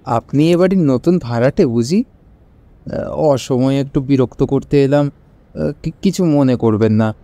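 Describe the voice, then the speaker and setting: Man acting a timid, soft-voiced, halting middle-aged gentleman. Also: mid-range voice, no room sound, lightly acted. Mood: hesitant, apologetic. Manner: hesitant, halting with frequent sighing "ah"s. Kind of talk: audio story dramatization.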